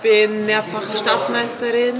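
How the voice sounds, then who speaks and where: A woman's voice: mid-range voice, completely flat delivery, unhurried. A woman of twenty, an athlete giving casual answers in an interview. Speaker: champion kickboxer, interviewee. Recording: crowd noise behind. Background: event crowd.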